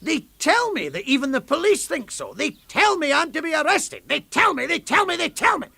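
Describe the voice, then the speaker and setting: An actor playing a bitter, defiant former stand-up comic making a rhetorical, theatrical speech to a hostile crowd in a radio drama. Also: male voice, very high voice, big pitch swings, creaky, bright, forceful tone, dry recording, exaggerated voice. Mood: angry, defiant. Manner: rhetorical, theatrical, with deliberate repetitions and pauses.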